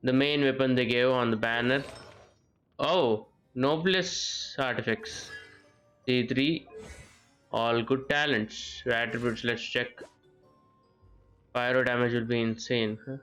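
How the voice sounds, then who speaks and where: A male game streamer gives casual live commentary at a medium pitch. He talks to himself in halting, muttered bits, with game music behind him. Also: fairly even intonation, fairly bright tone, low-quality recording.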